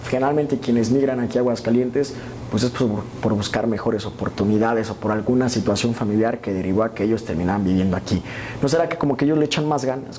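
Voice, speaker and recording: A man's voice: mid-range voice, mellow. Mexican man moderating a panel debate. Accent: Mexican Spanish. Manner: asks long rhetorical questions. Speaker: debate show host. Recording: echoey studio with background noise.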